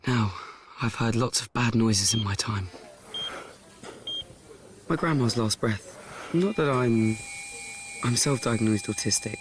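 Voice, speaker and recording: A man's voice: medium pitch, slow, pauses often, slight background noise, close to an everyday voice. An actor playing an awkward, frank teenage boy in a radio play, with a blunt, confiding manner. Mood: deadpan, confiding. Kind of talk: radio play monologue and dialogue.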